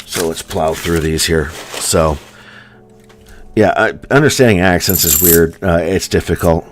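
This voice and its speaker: A deep-voiced American man with a plain General American accent chats to his viewers at home. He rambles along loosely and fills his talk with ums and likes. Soft music plays faintly behind him. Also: unhurried. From United States. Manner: rambling, filler-heavy, with many ums and likes. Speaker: coin hunting streamer. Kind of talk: casual chat to a live audience.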